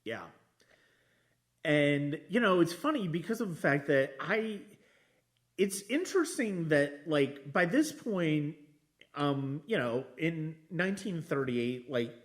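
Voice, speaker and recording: Slow-paced man talking film, halting delivery. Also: fairly high pitch, lively intonation. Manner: halting, repeats and restarts phrases while thinking aloud. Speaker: podcast host discussing films. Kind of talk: casual film discussion.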